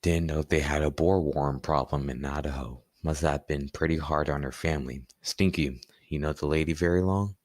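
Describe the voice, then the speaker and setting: Man playing very deep-voiced police sergeant Stone. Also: steady tone, moderate pace, few pauses, a little mellow, no room sound, studio quality. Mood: calm, in charge. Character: Sergeant Stone, calm undercover police officer. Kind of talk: student radio play acting.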